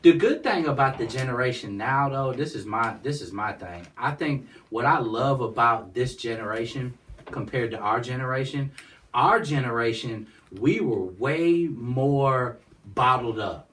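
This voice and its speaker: A man's voice: lively intonation, a little slow, a bit bright, slight background noise. A man, talking as a guest in a casual interview and rambling with constant "you know what I'm saying.". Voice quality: high-pitched voice. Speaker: guest, nonprofit founder. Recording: distant mic.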